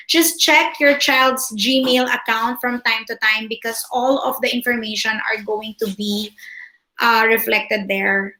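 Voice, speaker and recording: Female school administrator, Filipino accent, native Tagalog. Unhurried, slightly high voice, polite and halting, fielding questions in a roomy online meeting. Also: pushed and bright. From Philippines. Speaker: school administrator hosting a parent orientation. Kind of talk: informal question-and-answer presentation to parents.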